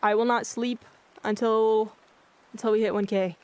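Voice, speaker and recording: A livestreamer chatting casually with viewers in a monotone, blunt-sounding way, often losing their train of thought. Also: mid-range voice, normal speed. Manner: rambling, loses track of thoughts. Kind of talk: casual chat with live viewers. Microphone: filtered streaming mic.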